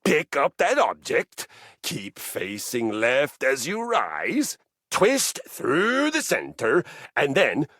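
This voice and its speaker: A living treadmill as a theatrical, battle-hungry male fitness coach in a video game. He speaks slowly and formally, with huge swings in pitch. The voice is husky and the exuberance is grand and sincere. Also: wildly swooping pitch, fairly bright tone, no room sound, clean studio sound, heavily acted. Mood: instructive, commanding. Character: personified treadmill, exuberant warrior fitness coach who loves violence. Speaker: workout coach. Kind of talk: video game character acting.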